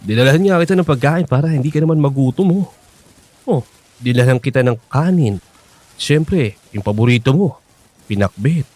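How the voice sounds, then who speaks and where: A man's voice: mid-range voice, expressive, moderate pace, a little mellow, no room sound, natural voice. An actor playing a flirtatious, teasing man of sixty-five with a Filipino accent in a radio drama. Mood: playful, wooing. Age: 60s. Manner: teasing, cajoling, exaggerated swooping delivery.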